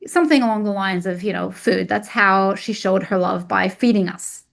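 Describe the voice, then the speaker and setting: A woman's voice: medium pitch, normal speed, vocal fry. A woman whose first language is Arabic, a weight loss coach who grew up in Egypt, speaking openly and reflectively about hard memories as a podcast guest. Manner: open and reflective, tells painful memories plainly. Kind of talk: candid personal storytelling.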